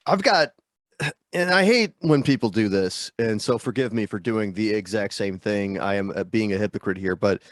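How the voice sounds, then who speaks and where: A man's voice: fairly low pitch, moderate pace, no echo, studio quality. A man guesting on a video-call interview, stuffy from a sinus infection, rambling and self-deprecating with lots of fillers. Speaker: guest musician. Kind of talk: casual interview chat.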